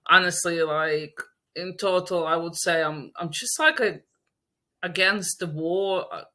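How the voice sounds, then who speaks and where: A woman's voice: lowish voice, measured pace, bright, forceful tone. A woman from Latvia, a native Russian speaker, chatting as an interview guest in English, hesitant and laughing often. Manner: hesitant, many fillers and restarts, laughs often. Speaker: podcast guest. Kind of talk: casual interview chat.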